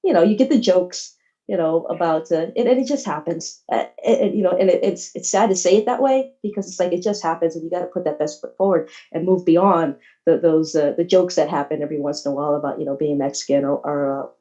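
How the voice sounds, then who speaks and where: A woman from Texas giving an informal, earnest and halting personal account as a panelist on a video call. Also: fairly low pitch, dark tone. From Texas, United States. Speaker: panelist, athletic trainer. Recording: slightly dull video call audio.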